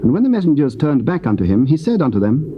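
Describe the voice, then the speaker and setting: A man's voice: a little high, very animated. Stately male scripture narrator. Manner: measured, stately reading. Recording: dull tape with hiss.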